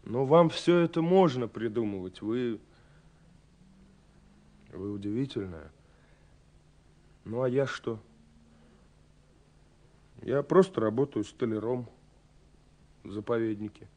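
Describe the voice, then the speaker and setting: An actor playing a young, romantic, self-effacing carpenter reading out his love letter in an agitated, halting, confiding way, in a Soviet radio play. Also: mid-range voice, close to an everyday voice. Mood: sheepish, confiding. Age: young adult. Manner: agitated, halting, confiding, with swells and hushed drops.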